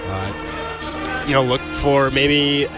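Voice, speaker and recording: A man's voice: medium pitch, extremely slow, over music. A man doing color commentary on a basketball radio broadcast, analyzing the game conversationally with casual fillers.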